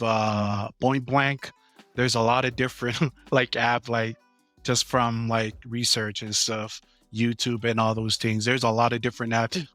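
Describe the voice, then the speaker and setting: A man from American Samoa in his thirties, a business owner answering interview questions casually, halting with many fillers. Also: mid-range voice, a bit bright, no echo.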